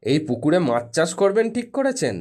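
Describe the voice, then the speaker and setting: A sensible, wry doctor in an animated cartoon. His voice sits a little high and he talks in a matter-of-fact, drily teasing way. Music runs clearly underneath. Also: fairly quick. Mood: agreeable, reassuring. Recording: music behind the voice.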